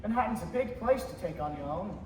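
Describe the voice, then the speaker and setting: Guy acting a stammering, emphatic businessman in a radio play, really high voice, far from mic. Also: animated, a little fast, darkish tone. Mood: earnest concern. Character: earnest, generous small-town businessman, easily agitated. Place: high school theater stage. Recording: echoey distant stage recording, faint noise.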